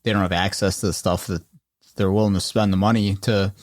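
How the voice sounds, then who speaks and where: A man's voice: deep voice, level tone, brisk, dry recording. A man hosting a podcast, interviewing a guest in an easygoing way with lots of "um" and "uh". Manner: easygoing, many "um" and "uh", short replies. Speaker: podcast host. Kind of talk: casual interview.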